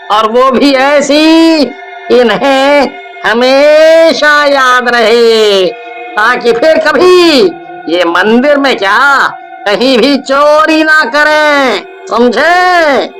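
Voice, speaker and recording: A man playing an angry, punitive village temple priest in a cartoon story, scolding with drawn-out menace. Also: extremely high pitch, pushed and bright, full character voice. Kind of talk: Hindi cartoon story voice acting.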